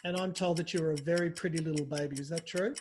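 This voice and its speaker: A man hosting a quick-fire comic interview in a teasing, mock-formal, playful way. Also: a little high, steady tone, a little mellow, a little hiss or hum. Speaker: interview host.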